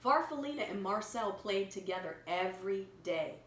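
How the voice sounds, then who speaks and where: A woman reading a picture story aloud to a young child, pausing to explain words simply and prompt repetition. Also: mid-range voice, expressive. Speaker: children's story reader and teacher. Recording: echoey room with clear background noise.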